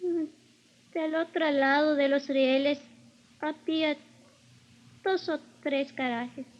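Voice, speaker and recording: A woman's voice: sits high, fairly even intonation, unhurried. An actress playing a young Spanish-speaking girl questioned as a witness in a 1950s radio drama. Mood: hesitant recall. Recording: dull vintage 1950s radio recording.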